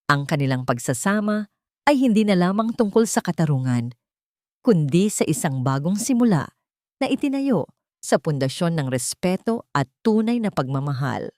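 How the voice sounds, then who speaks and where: A woman narrating a scripted love story in a dramatic, measured and solemn storytelling style. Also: fairly low pitch, darkish tone, no room sound, studio quality.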